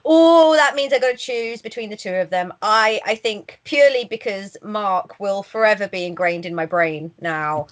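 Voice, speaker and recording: A woman, co-hosting a casual podcast, chatty, playful and quick to laugh. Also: mid-range voice. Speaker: podcast co-host.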